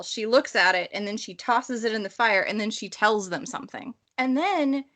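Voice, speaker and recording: A woman's voice: fairly high pitch, fairly bright tone. A woman in her thirties co-hosting a casual book discussion, speaking in an emphatic, exasperated way. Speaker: podcast co-host; romance writer.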